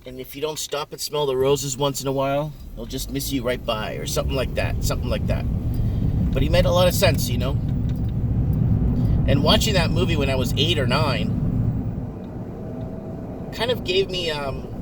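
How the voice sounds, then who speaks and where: A man's voice: mid-range voice, moderate pace, pauses often. A man in his forties whose first language is Portuguese, musing in a loose, rambling vlog monologue and repeating words as he goes. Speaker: vlogger. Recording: distant mic with loud traffic noise.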